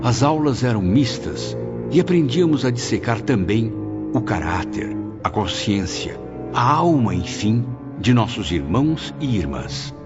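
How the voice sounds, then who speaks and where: A man's voice: medium pitch, sing-song, a little slow. A man narrating an audiobook in the first person, with solemn, emotive, literary delivery. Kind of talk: dramatic scripted narration. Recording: dull lo-fi with music bed. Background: clear background music.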